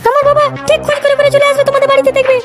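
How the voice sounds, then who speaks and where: A woman's voice: extremely high pitch, completely flat delivery, very fast, over music. A woman voicing an indignant young village bride who complains and threatens in a comedy cartoon. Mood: indignant, threatening. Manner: complaining, repetitive. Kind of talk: Bengali comedy cartoon dialogue.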